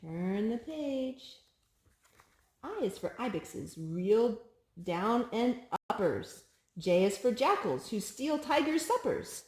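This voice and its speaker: A woman hosting a children's storytime, reading picture books aloud in an expressive, sing-song way to a small child. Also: on the high side, slow pace, roomy sound.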